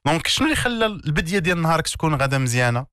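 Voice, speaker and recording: A man's voice: fairly high pitch, very animated, racing, pushed and bright, smooth, dry recording, studio quality. A man with a Moroccan accent explaining self-development ideas as an interview guest, in an emphatic, didactic way with repeated key words and rhetorical questions. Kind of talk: conversational explanatory coaching in an interview.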